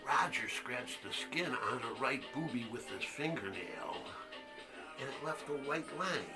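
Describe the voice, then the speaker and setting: A man's voice: medium pitch, animated, a little slow, loud music behind the voice. An actor playing a crude, haunted man who halts and confides as he tells a story in a stage monologue. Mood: confiding, intense. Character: crude, haunted man recounting a boyhood secret. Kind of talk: one-act monologue, storytelling.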